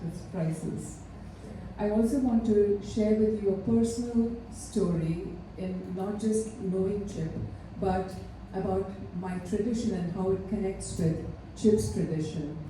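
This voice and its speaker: A woman from India giving an earnest, measured tribute speech, pausing often with fillers. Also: medium pitch. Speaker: ceremony speaker. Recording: echoey hall, mic far off, faint noise.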